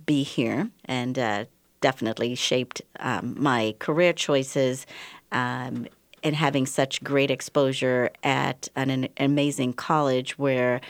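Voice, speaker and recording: Female guest from the Bahamas, faint Bahamian accent, low and dry, chatty and talkative. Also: no room sound. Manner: warm, chatty, frequent fillers and laughs. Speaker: ENT surgeon guest. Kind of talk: casual radio interview.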